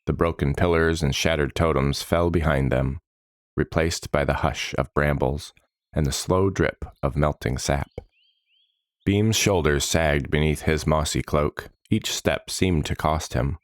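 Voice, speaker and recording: A man reading dramatic fantasy narration in a measured, solemn manner, giving short phrases weight. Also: a bass voice, no room sound, studio quality.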